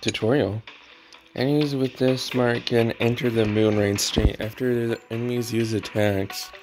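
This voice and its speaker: A man's voice: mid-range voice, fairly even intonation, measured pace, music in the background. A man giving casual gameplay commentary, with offhand muttered reactions and bits read aloud. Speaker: gaming streamer.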